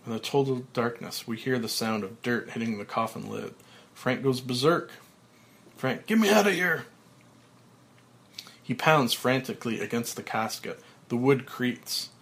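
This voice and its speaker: A man reading a screenplay aloud and voicing a panicked middle-aged male executive who shouts in high, frantic bursts. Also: steady tone, moderate pace, close to an everyday voice. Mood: terrified.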